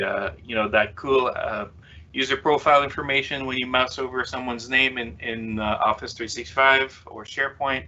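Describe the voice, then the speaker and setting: French Canadian male tech presenter, native French speaker, crisp bright voice, filler-heavy self-deprecating delivery, dull online-stream audio. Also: medium pitch. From Quebec City, Canada. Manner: chatty, many "uh" fillers, self-mocking humor. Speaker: conference speaker, developer consultant. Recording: slightly dull lo-fi webcast audio.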